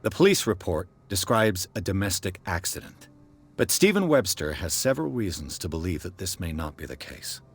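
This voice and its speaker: An actor playing a composed, persistent private detective in a video game, speaking in a measured, probing, firm way. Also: male voice, low-pitched, sing-song, slightly rough, full character voice. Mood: calm, probing. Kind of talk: video game cutscene acting.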